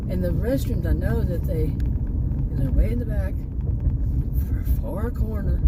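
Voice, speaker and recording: An older woman telling a rambling story in a casual vlog, her voice fading and strained as she goes. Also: lowish voice. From Texas. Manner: rambling, self-interrupting, chuckling asides. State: losing her voice. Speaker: vlogger. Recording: loud traffic noise outside.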